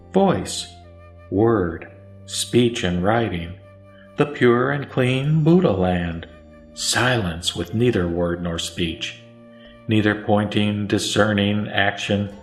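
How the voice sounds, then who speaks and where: A man voicing the Buddha as a serene teacher, preaching in a solemn, measured way in a scripted sutra audio drama. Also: lowish voice. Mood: solemn teaching. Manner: solemn, measured sermon delivery. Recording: echoey voice over music.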